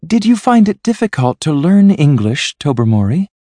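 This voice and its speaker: Storybook lady guest, played by a male reader: sceptical, politely mocking, raised pitch, lively inflection. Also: a little slow, no room sound, exaggerated voice. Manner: polite, teasing. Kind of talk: storybook character voice.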